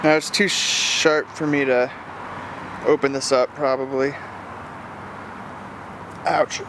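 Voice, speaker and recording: A man's voice: mid-range voice, flat delivery, takes it slowly. A man chatting casually to his vlog in a rambling, self-correcting way. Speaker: vlogger. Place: mostly outdoors in a yard and neighborhood. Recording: noisy outdoor recording with car and street ambience.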